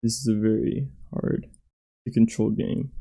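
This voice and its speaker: A hoarse man talks through his own game level as he plays it, halting and self-critical and full of fillers. His voice is very dark and gravelly, with a heavy fry at the bottom. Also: lowish voice, steady tone. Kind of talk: gameplay commentary.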